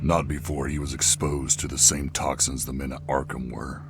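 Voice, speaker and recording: A man's voice: a bass voice, little pitch movement, normal speed, over music. A man playing a grim, guilt-laden masked vigilante in a comic dub, terse and grave.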